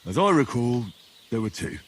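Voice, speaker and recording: An actor voicing a humble young hero from a game cutscene, mid-pitched with a lively lilt. He talks plainly, with dry teasing humor. A faint hum of noise sits behind. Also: normal speed, lightly acted. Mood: wry, teasing. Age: young adult. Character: humble young male commoner hero, steady and modest. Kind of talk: video game cutscene acting.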